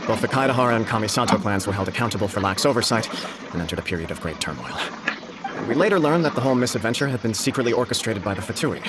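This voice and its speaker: Man playing a quick-talking, courteous young nobleman. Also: lowish voice, natural voice. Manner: formal, diplomatic, measured wording. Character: courteous, formal young nobleman in government service. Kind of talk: video game character acting. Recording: loud noise behind the voice.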